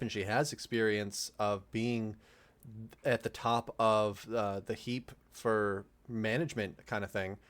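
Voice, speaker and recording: Low-voiced man in halting commentary. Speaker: audio drama cast or crew member giving commentary. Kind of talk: analytical casual commentary.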